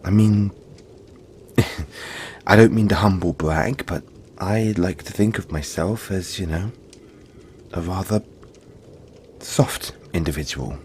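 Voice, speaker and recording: A big furry yeti played by a man talks in a deep voice over faint background noise. He is soft-hearted and apologetic, soothing the listener with halting, playful chat in an intimate audio roleplay. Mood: gentle, modest. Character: big cuddly male yeti monster, friendly, apologetic, gentle.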